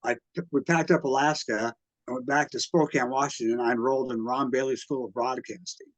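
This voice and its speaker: An American man in his sixties reminiscing casually in an interview, with a halting delivery that often backtracks. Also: mid-range voice, fairly even intonation, dry recording, rough recording. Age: 60s. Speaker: radio collector and museum exhibitor, interviewee.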